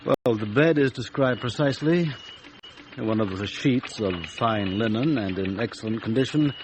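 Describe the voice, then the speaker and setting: A man's voice: lowish voice, normal speed, noisy background, lightly acted. An actor playing a dutiful male police subordinate in a radio drama, precise, orderly and deferential.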